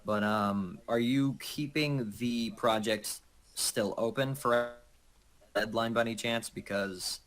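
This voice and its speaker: A man's voice: mid-range voice, slow, a bit bright. A man in a casual group chat, talking informally in a rambling way with mild swearing.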